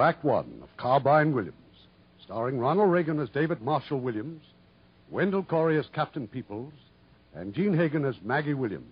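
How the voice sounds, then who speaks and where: A man's voice: medium pitch, breathy edge. A man hosting a 1950s radio drama as its producer, reading a formal scripted introduction. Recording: muffled 1950s radio recording.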